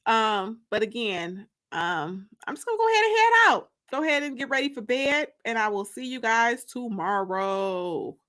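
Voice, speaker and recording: A woman's voice: fairly high pitch, sing-song, normal speed, hardly any pauses, fairly bright tone. An American woman hosting a casual livestream, chatting with viewers in a playful, teasing, colloquial way. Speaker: livestream host and author.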